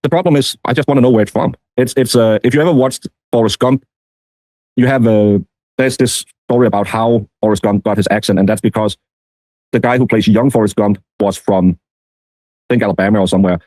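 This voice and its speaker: A man giving casual, rambling commentary in a halting, meandering way. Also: lowish voice, a little mellow, dry recording, rough recording. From Denmark. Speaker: streamer.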